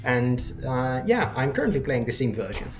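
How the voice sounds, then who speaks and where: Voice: medium pitch, big pitch swings, normal speed. Casting: gameplay host, casual and rambling, self-correcting, lo-fi dull mic with game music underneath. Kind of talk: casual let's play commentary.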